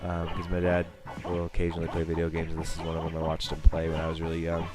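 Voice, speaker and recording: Young male let's-play host, bass-low voice, stuffy with a cold, rambling and wry. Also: completely flat delivery, fast, music in the background. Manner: rambling, self-mocking, chatty. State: sniffling from a cold. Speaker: gaming channel host. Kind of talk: let's play commentary over gameplay.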